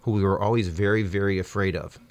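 Low-voiced man, dry studio sound. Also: fairly even intonation, quick pace, darkish tone, dry recording. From United States. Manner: hesitant, good-humored, many fillers. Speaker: radio guest. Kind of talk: casual interview chat. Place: radio studio.

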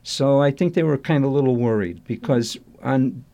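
Older man, mellow dark voice, halting reminiscing interview answers. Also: mid-range voice, gravelly. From Chicago, USA. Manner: halting, restarts sentences, warmly nostalgic. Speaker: interview guest.

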